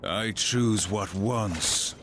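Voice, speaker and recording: A man's voice: on the low side, extremely slow, background noise. An actor playing a grim, stoic horseman of the apocalypse in a video game, speaking solemnly and tersely. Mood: grim resolve. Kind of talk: video game cutscene acting.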